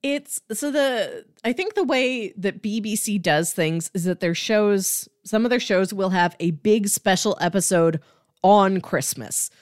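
A woman's voice: medium pitch, a little slow, dry recording. A woman talks as a podcast co-host in a dry recording with little room sound. Her delivery is casual and unscripted, and she slips into acting out the remarks she quotes. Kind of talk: casual unscripted chat about a TV show.

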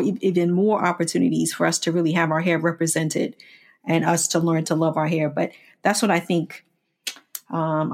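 A middle-aged American woman hosts a conversational interview. Her voice sits a little low. She talks effusively and loosely, circling round her thoughts and checking in as she goes. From Washington DC, United States.

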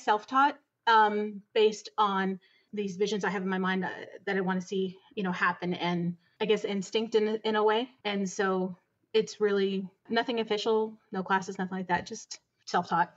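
A dull, slightly lo-fi recording of a woman with a mid-range voice. She speaks casually as an interview guest, building her answers in short, halting phrases. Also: medium pitch, little pitch movement. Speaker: interview guest, audio drama director.

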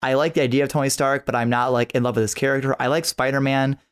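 A man's voice: medium pitch, fairly even intonation, fast talker, clean tone. A man co-hosting a podcast, giving his opinions in a casual, rambling way with "like" scattered through his talk. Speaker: podcast co-host.

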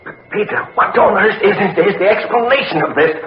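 High-pitched, aghast male professor. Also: lively intonation, soft background music. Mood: shocked. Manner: halting, incredulous exclamations. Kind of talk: 1940s radio horror acting. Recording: echoey, noisy, dull old radio recording.

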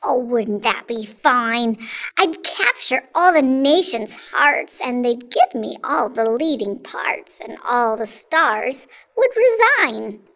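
A woman's voice: on the high side, big pitch swings, slow pace, creaky, a bit bright, exaggerated voice. A woman voicing a vain, bossy, nasty aunt from a children's storybook, theatrical in her gushing and scolding. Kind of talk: children's storybook character reading.

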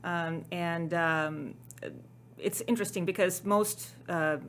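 Ukrainian woman guest on a podcast, lowish voice, bit of background hiss, lots of ums. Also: steady tone. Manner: measured, explanatory, frequent ums. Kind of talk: interview conversation.

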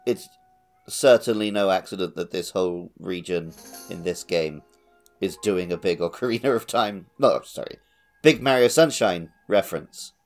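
A man's voice: deep voice, normal speed, faint music behind. A man co-hosting a gaming podcast, bantering casually in a playful, jokey way. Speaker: podcast co-host.

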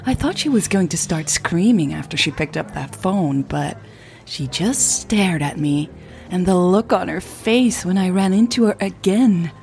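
A playful tech blogger, acted out by a woman narrator, teasing her best friend in an audio drama. She has a fairly low, lively voice with a mock-exasperated edge, over background music. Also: a little slow. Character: teasing, sociable female tech blogger and business owner.

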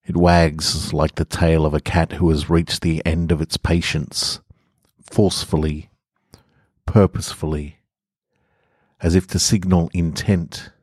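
Very deep-voiced Australian man. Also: little pitch movement, measured pace, darkish tone, slightly rough, no room sound, clean studio sound. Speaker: podcast host and story reader. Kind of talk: casual podcast introduction, then first-person horror story narration. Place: garage.

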